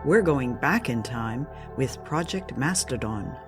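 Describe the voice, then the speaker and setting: A woman's voice: rumbling low, expressive, slow pace. A woman hosting a show, giving a scripted introduction. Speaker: podcast host. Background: music.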